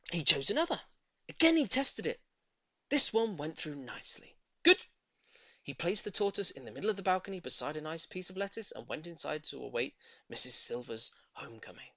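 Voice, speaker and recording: A woman reading a children's story aloud as expressive, dramatic narration. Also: low-pitched, brisk, no echo. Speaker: audiobook reader.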